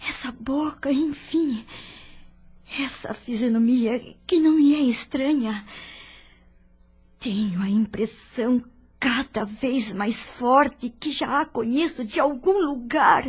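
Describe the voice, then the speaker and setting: A woman playing an ailing, pensive older mother in an audio drama, with a breathy voice. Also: fairly high pitch, exaggerated voice.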